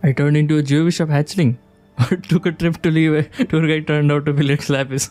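A woman streamer gives casual, teasing commentary as she plays. Her voice is extremely deep for a woman and mellow, with faint game music underneath. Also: fairly even intonation, brisk. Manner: teasing, giggly, reacting aloud to the game.

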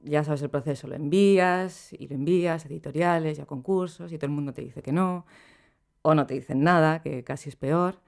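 A middle-aged woman, a poet, talking in a relaxed and reflective way as a podcast guest, laughing easily between thoughts, recorded in a small studio room. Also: low voice, fairly quick, vocal fry. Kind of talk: relaxed conversational interview. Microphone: studio microphone on boom arm.

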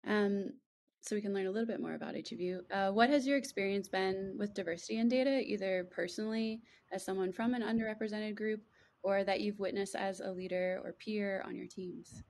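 Brisk woman moderating a video panel. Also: mid-range voice, a little mellow. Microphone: video call.

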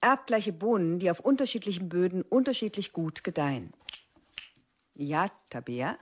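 A woman's voice: lowish voice, animated, moderate pace. An actress playing a stern middle-aged female biology teacher who lectures curtly and cuts her students down. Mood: cold. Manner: curt, cutting, lecturing. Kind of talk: radio drama acting.

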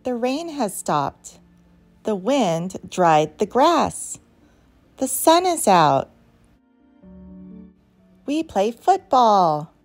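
Expressive woman reading to children, slow pace. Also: on the high side. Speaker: read-aloud storyteller for children. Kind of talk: expressive picture-book read-aloud for young children. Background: background music.

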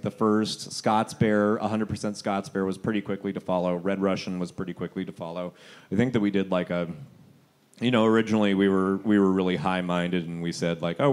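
A man's voice: lowish voice, darkish tone. A man, a head brewer and guest on a stage panel, chatting casually with many fillers and restarts.

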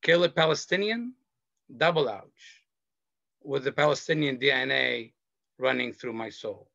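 A middle-aged man from Lebanon, a panelist sharing a personal story in a slow, earnest, measured way over a video call. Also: medium pitch, creaky, fairly bright tone, no room sound. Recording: dull video call audio.

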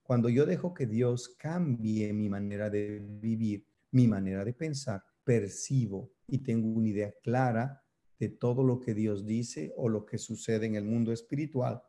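A man's voice: on the low side, measured pace, warm tone. A man in his fifties from Ecuador, preaching an earnest sermon to a webcam from an office, gesturing as he speaks. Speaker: pastor. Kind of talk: sermon over video. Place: office indoors. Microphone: webcam close up.

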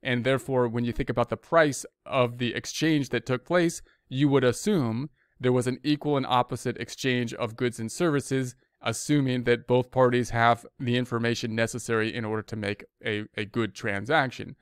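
A man's voice: medium pitch, steady tone, normal speed, hardly any pauses, clear, resonant tone, dry recording, studio quality. An American man giving an informal explanatory lecture as an instructor, talking conversationally and often rephrasing himself. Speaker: accounting instructor.

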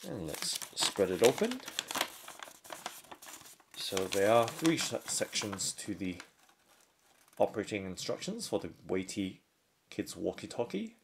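Man, product reviewer, creaky low voice, measured unboxing commentary, indoor tabletop recording. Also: very animated. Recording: faint handling and crinkling noise. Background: faint clicks and packaging crinkle.

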